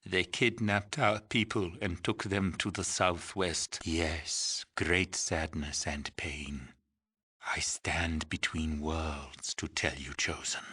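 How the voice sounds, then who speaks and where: A man playing an old, mystical village shaman in a video game, speaking solemnly and poetically in a husky, breathy, ceremonious way. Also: sits low, fairly even intonation, sharp tone, heavily acted. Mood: weak, grieving. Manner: slow, ceremonious, poetic phrasing. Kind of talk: dramatic video game character acting.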